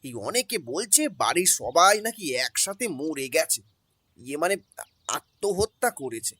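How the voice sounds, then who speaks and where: A man acts out an anxious male servant in a detective radio play, with a bright, forceful, husky voice. He is deferential yet urgent, stammering in homely phrases, and his pitch swings widely. Music plays behind him. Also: very animated, a little slow, exaggerated voice. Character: agitated, deferential male household servant bringing alarming news. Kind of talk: audio detective drama acting.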